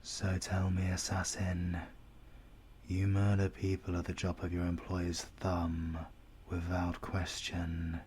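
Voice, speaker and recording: Man playing villain interrogator, British accent, menacing yet polite, unhurried, low-pitched, deliberate formal speech, hushed whispers, audio roleplay. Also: completely flat delivery, a little hiss or hum. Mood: menacing, businesslike. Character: menacing, coldly courteous male villain interrogator. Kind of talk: ASMR audio roleplay acting.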